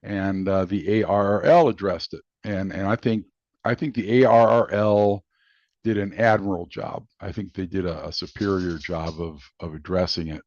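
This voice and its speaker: A man hosting a hobbyist video, giving casual, unscripted commentary in a halting, rambling way. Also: low-pitched, fairly even intonation, darkish tone, no echo. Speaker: video host and amateur radio hobbyist. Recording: dull lo-fi sound.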